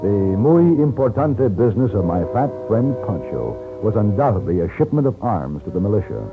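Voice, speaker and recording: Low, animated cool American gunslinger hero, shrewd, wry, persuasive, 1950s-style radio western on a tinny recording. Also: male voice, moderate pace, over music. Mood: wry narrating. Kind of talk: old-time radio western acting and narration.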